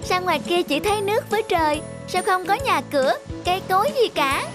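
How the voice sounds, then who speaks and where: Small boy for animation. High voice, eager, full of wonder, over music. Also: expressive, brisk. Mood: curious, dreamy. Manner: bright-eyed, wondering. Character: chubby little boy, eager, dreamy and curious. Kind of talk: animated moral tale dialogue. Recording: music behind the voice.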